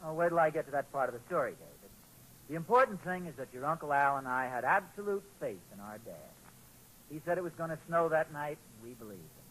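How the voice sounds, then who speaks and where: A man's voice: fairly high pitch, lively intonation, quick pace, a bit bright. A man playing a hopeful, anxious young father in a 1940s radio sitcom, speaking in a polite, mild, slightly hesitant way. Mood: fond, nostalgic. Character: hopeful, anxious young father of small boys, storytelling dad. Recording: noisy vintage 1940s radio recording.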